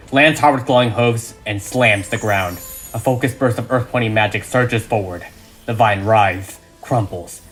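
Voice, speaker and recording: Male narrator for audio drama: bright, punchy, theatrical script read, light music underneath. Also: lowish voice, low-quality recording.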